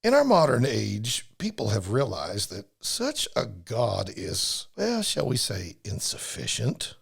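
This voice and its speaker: A man playing a sly, flattering prince in a Bible audio drama, condescending and oily, with theatrical pauses. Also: mid-range voice, vocal fry, slightly rough, exaggerated voice. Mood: smooth, condescending. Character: sly, flattering male prince urging a king toward idols.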